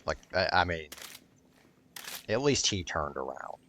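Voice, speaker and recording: A man's voice: sits low, big pitch swings, unhurried, noisy background. A man giving casual, rambling gameplay commentary in a blunt, sweary way. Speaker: gaming video creator.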